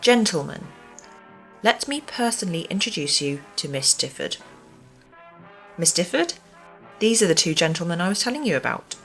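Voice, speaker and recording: Radio play matron: prim English female, officious and pompous, pitched slightly low woman performing. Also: expressive, normal speed, long pauses, a bit bright, faint music behind. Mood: formal, condescending. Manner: formal, pompous, precise. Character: prim, officious care home matron, stern and superior. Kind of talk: amateur radio play acting.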